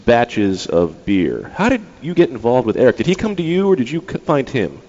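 A man hosting a casual interview, asking relaxed questions with a few fillers. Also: mid-range voice, moderate pace. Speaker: interviewer, host. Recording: slightly dull recording with faint ambient noise.

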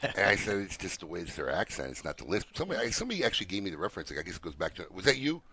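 Fast-talking, bright-voiced radio co-host man. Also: deep voice, sing-song, husky. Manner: fast, jokey, talkative. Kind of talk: casual radio chat.

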